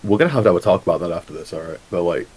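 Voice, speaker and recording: A man with a deep, raspy voice who sounds hoarse and unwell. He talks cocky and jokey, taking shots at his rivals. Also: expressive, rapid-fire, darkish tone. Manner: cocky, jokey trash talk. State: hoarse and sick. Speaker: tag team champion.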